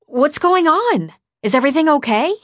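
A woman's voice: high voice, big pitch swings, normal speed, dry recording, clean studio sound, pitched above the performer's own voice. A woman voicing an earnest, emotional teenage girl, defensive and apologetic, in an audiobook. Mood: alarmed. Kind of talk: audiobook character dialogue.

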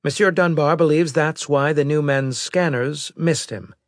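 Man voicing a brisk female military officer, pitched up. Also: no echo, clean studio sound, natural voice. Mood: brisk, formal. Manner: brisk, precise, formal reporting. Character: brisk, formal female military intelligence officer in science fiction.

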